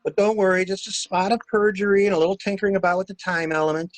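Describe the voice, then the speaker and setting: A man in an amateur mystery drama reading, playing a cool-headed gentleman stranger who briskly and wryly takes charge of a cover-up. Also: sits high, little pitch movement, dry recording, natural voice, rough recording. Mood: cool, planning.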